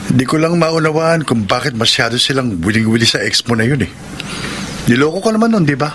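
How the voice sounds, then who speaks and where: A grumbling young husband played by a man in a radio drama, with a medium-pitched voice. He sounds stubborn, resentful and resigned. There is clear noise in the recording. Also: expressive, fairly quick, fairly bright tone, lightly acted. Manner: complaining, resigned. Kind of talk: Tagalog radio drama acting.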